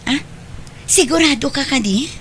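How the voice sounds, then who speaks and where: Fairly high-voiced, accommodating young mother played by a woman. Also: expressive, unhurried, fairly bright tone, slight background noise. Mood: meek. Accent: Ilocano. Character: grateful, deferential young mother and live-in girlfriend. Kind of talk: Ilocano audio drama acting.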